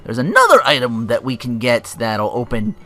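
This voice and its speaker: A man's voice: mid-range voice, wildly swooping pitch, moderate pace, bright, forceful tone. A man giving casual gameplay commentary, rambling and correcting himself, with some swearing. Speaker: let's player, host. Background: faint game music.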